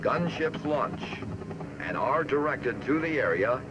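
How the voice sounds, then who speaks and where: A man narrating a military training film in a formal, measured, instructive manner, reading from a script. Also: medium pitch, big pitch swings. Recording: muffled, noisy old film soundtrack.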